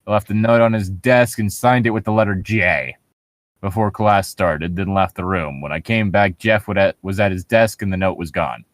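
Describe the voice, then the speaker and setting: A man's voice: deep voice, normal speed, no room sound. A man reading a story aloud mockingly, with casual, sarcastic joking and some swearing. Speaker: co-host of a comedic story reading.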